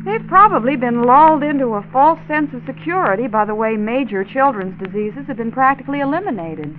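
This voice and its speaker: Casting: polite, concerned American wife, lively intonation, questioning manner, 1940s radio drama with tinny narrow sound. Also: female voice, sits high, brisk, slight background noise. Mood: curious.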